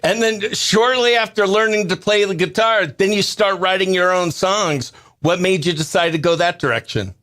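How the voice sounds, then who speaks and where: Bright, clear-voiced male radio host. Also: sits high, normal speed, few pauses. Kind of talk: behind-the-scenes radio show chat and interview.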